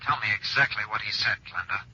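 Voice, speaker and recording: An actor playing an ardent, protective young lover in an old-time radio drama style. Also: male voice, fairly low pitch, fast, sharp tone, breathy edge, heavily acted. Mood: concerned, ardent. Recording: dull, noisy old radio recording.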